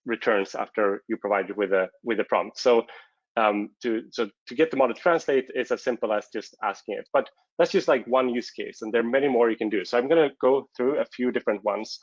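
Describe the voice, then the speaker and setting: A man's voice: medium pitch, fairly quick, dry recording, muffled recording. A man whose first language is Swedish, presenting a technical talk at a conference and hesitating often with fillers and restarts.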